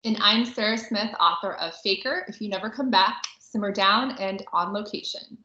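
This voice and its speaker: A woman's voice: medium pitch, moderate pace, pushed and bright. A woman co-hosting a podcast as a romance author, talking in a casual, chatty style. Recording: roomy, echoey, slightly muffled podcast audio.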